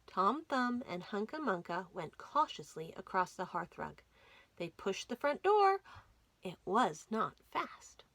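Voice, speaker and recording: A woman reading a children's story aloud as a storytime host, with dramatic, expressive delivery. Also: mid-range voice, unhurried. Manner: dramatic, animated storytelling with whispers and emphasis. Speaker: library storytime reader.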